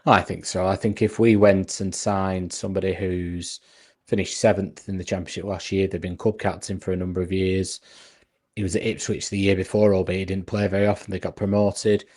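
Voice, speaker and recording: A man co-hosting a casual football podcast, reasoning his points out loud with frequent restarts. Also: sits low, flat delivery, fairly quick. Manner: earnest, reasoning aloud with restarts.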